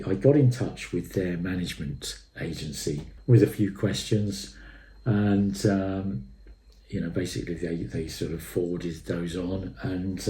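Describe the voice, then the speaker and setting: A man with a low, mellow voice speaks in a roomy, reverberant space. He delivers an off-the-cuff review full of enthusiasm, leaning on repeated words for emphasis. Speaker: music and tape reviewer. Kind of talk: enthusiastic unscripted review monologue. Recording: echoey room sound.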